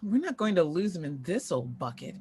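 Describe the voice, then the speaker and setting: A woman's voice: lowish voice, very animated, fairly quick, mellow, breathy edge, heavily acted. A woman acting a proud, wry aging former child star in a screenplay table read, with a dry theatrical manner. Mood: urgent, decisive.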